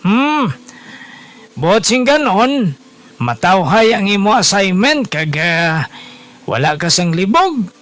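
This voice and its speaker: An elderly, fatherly bishop for a radio drama, in a very high voice. He counsels slowly and emphatically with a Hiligaynon Filipino accent, over clear background music. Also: sing-song, a bit bright, heavily acted. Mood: kindly inquiring. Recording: music under the voice.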